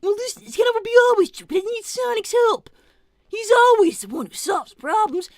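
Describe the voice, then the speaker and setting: A woman's voice: very high-pitched, expressive, brisk, no room sound, clean studio sound. A woman voicing a brainy, sensitive young boy fox in an improvised cartoon livestream, earnest, hesitant and easily exasperated. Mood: worried, exasperated. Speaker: character performer on a livestream. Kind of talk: improvised in-character conversation.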